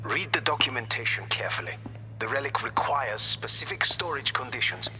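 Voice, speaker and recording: A man's voice: mid-range voice, lively intonation, fairly quick, noisy background, lightly acted. A man playing a stern, officious technical adviser in a cyberpunk game, giving formal instructions. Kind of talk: video game acting.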